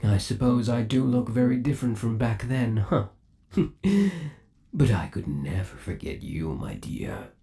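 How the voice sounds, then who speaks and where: A man's voice: medium pitch, sing-song, a little slow, vocal fry, dark tone. A man playing a possessive, lovesick undead animatronic rabbit boyfriend in an ASMR roleplay, cooing in a doting, theatrical and sinister way. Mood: adoring, obsessive.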